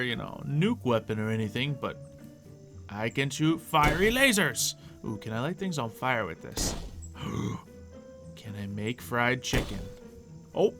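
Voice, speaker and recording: A man hosting a gaming video, casually thinking aloud in a playful, excitable way as he plays. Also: medium pitch. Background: background music.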